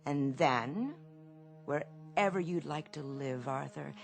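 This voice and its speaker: An actress playing a gentle, devoted older wife in a radio drama, with a tender, motherly manner. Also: sits low, slow pace, gravelly, faint music behind, exaggerated voice. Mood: tender, proud.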